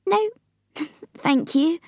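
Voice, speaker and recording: A woman's voice: very high-pitched, very slow, darkish tone, no room sound, studio quality, voice pushed up, full character voice. A woman voicing a polite, curious young girl in a storybook, full of questions.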